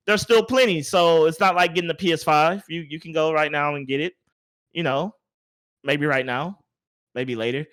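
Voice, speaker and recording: Higher-pitched man hosting a casual podcast. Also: a bit bright. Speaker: podcast host. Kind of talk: casual gaming podcast discussion.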